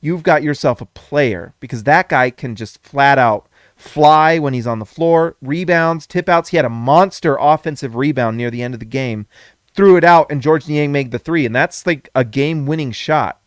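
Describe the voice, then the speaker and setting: Excitable male sports host, higher voice, going a bit hoarse, dry close sound. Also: normal speed, no room sound. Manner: excitable, emphatic repeats, self-correcting. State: losing his voice. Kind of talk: casual postgame basketball reaction.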